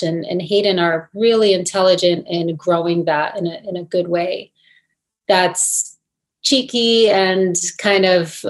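Thoughtful woman with many pauses. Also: on the low side. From New Jersey. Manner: thoughtful, hesitant, many fillers and restarts. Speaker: actress, guest. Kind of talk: interview answers.

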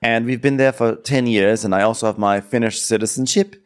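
A man's voice: mid-range voice, fairly even intonation, a little fast, smooth, close to an everyday voice. A man voicing an earnest, slightly affronted young woman in a comic retelling.

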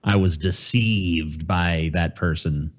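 A man with a low voice co-hosts a casual podcast. He talks in crude, profane jokes. The recording is slightly muffled and lo-fi. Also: fairly even intonation, slow. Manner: profane, joking. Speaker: podcast co-host.

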